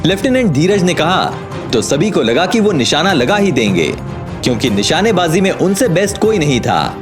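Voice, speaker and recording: A man narrates a scripted audio drama with suspense and emphasis. His voice is fairly high and swings widely in pitch. Soft music runs underneath. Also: very animated, normal speed. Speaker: story narrator.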